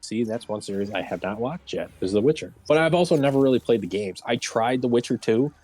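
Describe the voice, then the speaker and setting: A man's voice: medium pitch, lively intonation, quick pace, a little mellow, husky, soft background music. A man hosting a casual round table chat about entertainment, speaking in an informal, conversational way.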